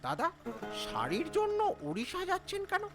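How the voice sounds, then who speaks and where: A man's voice: extremely high pitch, huge pitch swings, a little fast, over music, exaggerated voice. A man playing a sly, menacing auto-rickshaw driver in a cartoon story. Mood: sly, evasive. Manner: sly, evasive, gruffly colloquial. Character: shady, menacing male auto-rickshaw driver, secretly a robber.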